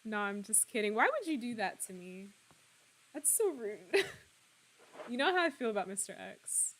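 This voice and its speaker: A woman in her twenties, slightly hoarse, chatting casually and laughing often as she streams a game and answers her viewers. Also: fairly high pitch, big pitch swings, normal speed, a bit bright. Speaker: streamer playing a horror game. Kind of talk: casual live-stream chat, reading out viewer comments.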